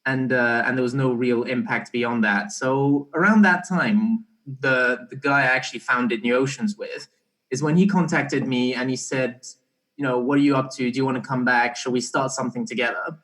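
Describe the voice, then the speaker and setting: A young man talks as a guest in a casual interview. His voice has an average male pitch, and he explains things haltingly, often restarting a phrase midway. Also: fairly bright tone, rough recording. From Belgian-born, partly raised in Barbados. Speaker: interview guest, startup co-founder.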